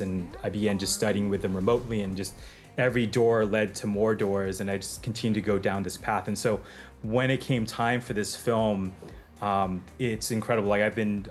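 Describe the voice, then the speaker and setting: A man from the United States who composes for film, answering interview questions in a thoughtful way with plenty of fillers and restarts. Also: on the low side.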